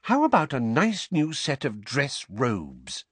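A man's voice: medium pitch, huge pitch swings, slow, breathy edge, no room sound, voice pushed up, heavily acted. A man voicing a doting, flustered middle-aged mother in an audiobook, breathless and gushing. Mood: breathless, fond.

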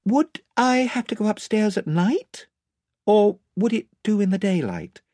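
A man's voice: sits high, lively intonation, measured pace, darkish tone, no echo, clean studio sound, pitched above the performer's own voice. A man voicing a brave young girl who speaks earnestly and with resolve.

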